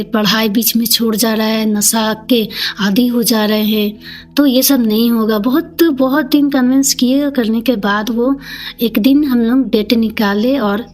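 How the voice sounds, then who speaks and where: A woman with an eastern Indian regional Hindi accent telling her personal story in a plain-spoken, halting, colloquial way. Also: on the high side. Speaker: community leader, podcast guest.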